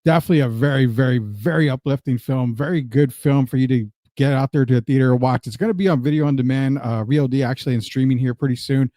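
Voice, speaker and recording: A man's voice: mid-range voice, fast talker, few pauses, mellow, no room sound, clean studio sound. A man hosting an entertainment show, chatting informally with a guest. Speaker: host of an entertainment and sports show. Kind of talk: casual interview chat.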